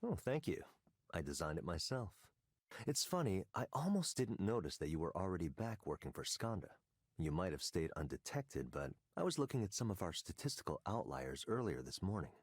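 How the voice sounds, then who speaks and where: A man's voice: deep voice, big pitch swings, brisk, husky, no echo, clean studio sound, full character voice. An actor playing a polished, self-assured male tech executive in a scripted video game. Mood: smooth, self-assured. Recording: music behind the voice.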